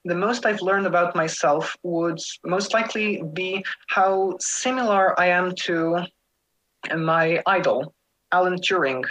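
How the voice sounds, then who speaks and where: A man's voice: sits high, measured pace, fairly bright tone, low-quality recording. A teenage boy, a scholarship candidate, reading a prepared statement in elaborate formal wording, then answering questions on a video call from home. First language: English. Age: teens. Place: home room. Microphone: webcam on a video call.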